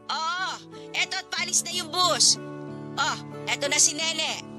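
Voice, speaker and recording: Cheerful old lola in a radio drama, high voice, chatty n teasing, music playing behind. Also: female voice, a little slow. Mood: playfully secretive. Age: older. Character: caring, cheerful elderly grandmother keeping a secret. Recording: music bed under dialogue.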